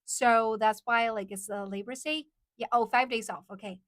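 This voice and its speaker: Young woman, higher voice, dry close sound; chatty livestream host who echoes viewer questions back. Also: steady tone, moderate pace. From China. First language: Chinese. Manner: chatty, repeats questions aloud, switches between English and Mandarin. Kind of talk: casual livestream chat reading viewer comments.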